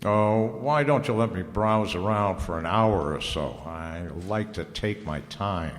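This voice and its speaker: An actor playing a wry, laconic private detective in an old-time radio noir drama, slangy and dry. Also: male voice, lowish voice, unhurried, natural voice. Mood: casual, probing. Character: wry, hardboiled male private detective narrator. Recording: reverberant hall, music underneath.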